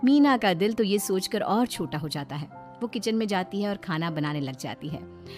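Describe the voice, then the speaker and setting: A woman narrating a moral story in an expressive, scripted storytelling style. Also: mid-range voice, moderate pace, faint music behind.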